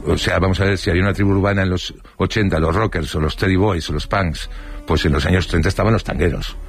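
An older man from Spain with a Spanish accent, telling rambling childhood anecdotes in a casual interview, full of fillers and restarts. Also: sits low.

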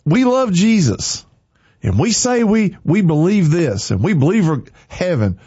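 A man's voice: sits high, big pitch swings, unhurried, warm tone, slightly rough, no echo. Fervent radio preacher man. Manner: fervent, preachy, repeats short commands. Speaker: morning show radio host. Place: radio studio. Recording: dry, slightly muffled studio.

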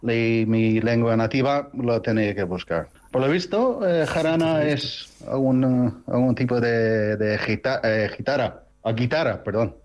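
An Irish man calls in to the radio show. He speaks with an Irish accent and searches hesitantly for his words, in an ordinary voice of medium pitch. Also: a little slow, hardly any pauses, a little mellow. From Ireland. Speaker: listener caller.

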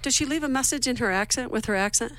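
A woman's voice: fairly high pitch, fast, fairly bright tone. A woman co-hosting a local radio show, chatting casually in a playful, teasing and somewhat scattered way from a studio.

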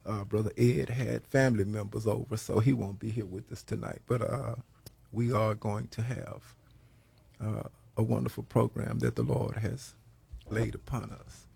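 A hoarse man leading a prayer and preaching on a program, slow and halting, with many pauses. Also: lowish voice, fairly even intonation. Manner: grows louder and more emphatic while preaching. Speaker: pastor hosting a prayer program. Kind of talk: prayer and sermon talk.

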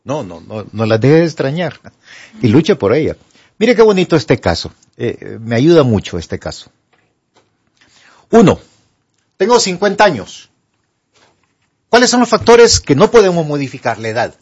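A man's voice: fairly high pitch, sing-song, normal speed, long pauses, a little mellow, husky. A Honduran man speaking as a doctor, giving conversational advice emphatically and didactically, asking and answering his own questions. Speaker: doctor, studio guest answering health questions. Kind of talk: conversational medical advice for a broadcast audience. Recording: dull, lo-fi broadcast audio.

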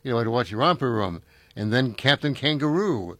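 A man's voice: medium pitch, sing-song, moderate pace, fairly bright tone, no echo. An older man, hosting a casual chat and reminiscing in a rambling way, full of fillers and restarts.

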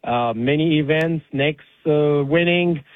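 A man from Egypt who owns an ice cream shop, speaking politely with many pauses over the phone as a caller. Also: fairly high pitch, no echo. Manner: polite, hesitant with fillers. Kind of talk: phone interview. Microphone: phone line. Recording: tinny phone line.

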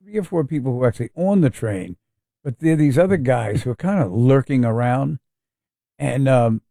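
A man's voice: mid-range voice, animated, normal speed, gravelly, dark tone, dry recording, studio quality. A man hosting a talk radio show and telling stories. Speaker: radio host. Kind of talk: casual talk radio chat.

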